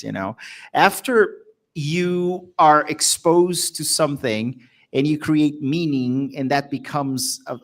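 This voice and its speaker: Brazilian man in casual podcast talk. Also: medium pitch. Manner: agreeable, affirming, guides the talk. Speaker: co-host, professor. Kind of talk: casual educational podcast chat.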